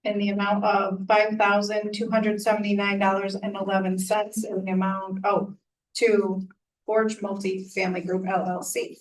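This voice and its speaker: Flat-voiced woman reading motions. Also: mid-range voice, normal speed, a little hiss or hum. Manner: flat, businesslike reading of figures. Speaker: town selectwoman. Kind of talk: reading motions at a town meeting. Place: meeting room. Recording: voice from far off the mic.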